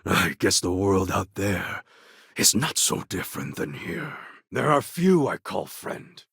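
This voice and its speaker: A fierce, proud rebel warlord for a video game. His voice is low and heavily rasped, with gravel in it. He speaks in grave, formal phrases with wide swings of pitch, brooding and commanding. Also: very animated, measured pace, fairly bright tone, dry recording, studio quality, exaggerated voice. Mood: grave, measured. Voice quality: husky, gravelly. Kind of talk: video game character acting.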